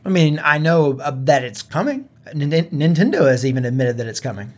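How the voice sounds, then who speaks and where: A man's voice: fairly high pitch, lively intonation, fairly quick, darkish tone. A man co-hosting a casual gaming podcast, talking in an earnest, conversational way. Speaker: podcast co-host.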